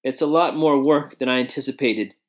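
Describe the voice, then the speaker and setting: A man's voice: medium pitch, fairly quick, close to an everyday voice. A man voicing a shy, earnest young inventor who speaks modestly.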